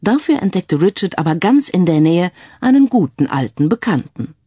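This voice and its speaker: Female crime story narrator, animated, mellow, recorded dry. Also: fairly low pitch, moderate pace, slightly rough, no echo.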